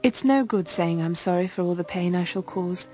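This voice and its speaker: An actress playing an enigmatic, resolute young upper-class woman in a radio drama, soft, breathy and quietly detached. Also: lowish voice, moderate pace, over music, natural voice. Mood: regretful, composed. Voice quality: soft, breathy, near-whispered.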